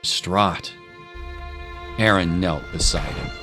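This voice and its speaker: Male storyteller, very low voice, solemn scripted narration, deliberate phrasing, music underneath. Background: clear music.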